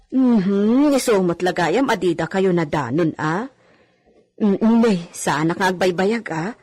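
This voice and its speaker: Humble, hesitant woman for radio drama, played by an actress: husky, deferential delivery. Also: mid-range voice, expressive, a little slow, darkish tone. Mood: casual, chatty. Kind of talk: radio drama acting in dialogue.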